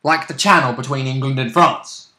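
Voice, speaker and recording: A man's voice: mid-range voice, moderate pace, sharp tone, echoey room. A man chatting casually as a player and co-host of a tabletop game show, blunt and prone to swearing.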